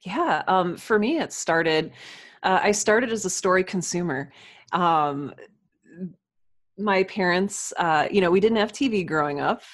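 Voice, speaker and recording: Low-pitched woman in earnest conversation. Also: expressive, measured pace, no echo, clean studio sound. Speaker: podcast guest, writer and audio drama producer. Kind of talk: conversational interview talk.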